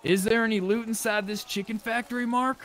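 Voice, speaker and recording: A man's voice: high-pitched, steady tone, a little fast, a bit bright. A man chatting casually in character during an online survival roleplay game, talking over a gaming voice chat microphone. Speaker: player in a zombie survival roleplay. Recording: clearly noisy game voice chat.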